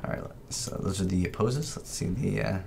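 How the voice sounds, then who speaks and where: Low-voiced man commentating casually on games. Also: moderate pace, creaky, a little mellow, a little hiss or hum. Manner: informal, rambling, thinking aloud. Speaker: gaming video host.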